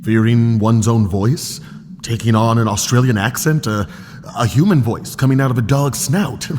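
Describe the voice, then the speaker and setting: An actor playing a careless island doctor-vet, blunt and offhand, who keeps giggling at bad news. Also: male voice, mid-range voice, normal speed, a little mellow, slight background noise, lightly acted. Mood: giggly. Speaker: physician and veterinarian. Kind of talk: comedic audio drama acting. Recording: reverberant room with music.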